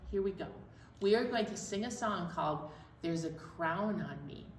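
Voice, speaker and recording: Echoey, animated woman hosting preschool storytime. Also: medium pitch. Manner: playful, addresses young children directly. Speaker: children's library storytime host. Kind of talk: chatty preschool storytime talk. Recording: echoey room, distant mic, faint noise.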